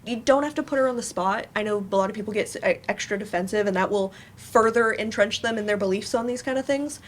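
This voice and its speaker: A woman talking casually as a panelist, in a halting and informal way. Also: mid-range voice. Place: live panel event in a room. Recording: faint room noise.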